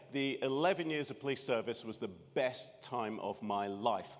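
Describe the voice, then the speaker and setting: Wry Scottish man in echoing hall. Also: medium pitch. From Scotland. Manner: wry, self-deprecating, many restarts. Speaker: keynote speaker. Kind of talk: humorous keynote talk. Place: large conference room. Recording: reverberant conference hall.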